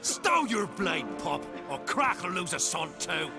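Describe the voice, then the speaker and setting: An actor playing a hot-tempered rival clan lord in a video game, gravelly, aggressive and threatening. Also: male voice, sits high, very animated, measured pace, full character voice. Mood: furious. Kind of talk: dramatic video game acting. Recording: music behind the voice.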